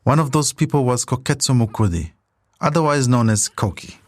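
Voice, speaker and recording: A man's voice: mid-range voice, expressive, brisk, no room sound. A man hosting a podcast, reading scripted documentary narration as a storyteller. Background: music.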